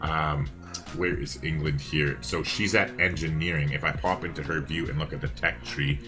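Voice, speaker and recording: A man's voice: very deep voice, fairly even intonation, moderate pace, music in the background. A man giving casual, chatty live game commentary as a co-caster, rambling as he works things out. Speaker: esports-style co-caster and streamer.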